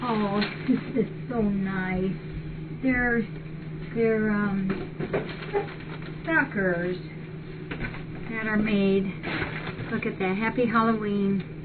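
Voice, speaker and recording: A woman's voice: medium pitch, steady tone, very slow, long pauses. An older woman chatting casually and appreciatively as she hosts a live webcam stream and reads things aloud. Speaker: cat rescue shelter host. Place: large indoor cat shelter room. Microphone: distant fixed webcam. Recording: muffled, noisy webcam audio from far off.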